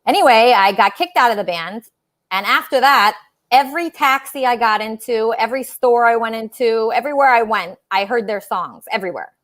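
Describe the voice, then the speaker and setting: A woman's voice: a little high, brisk, fairly bright tone. A woman hosting a casual livestream talk show, chatting with callers in a gushing, emphatic way.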